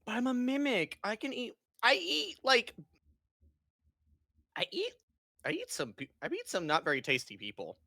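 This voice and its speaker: A woman's voice: wildly swooping pitch, sharp tone, no echo, clean studio sound, exaggerated voice. A woman voicing a goofy, people-eating mimic monster who rambles and stumbles over her words. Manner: rambling, halting, swooping pitch. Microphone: streaming microphone, voice changer.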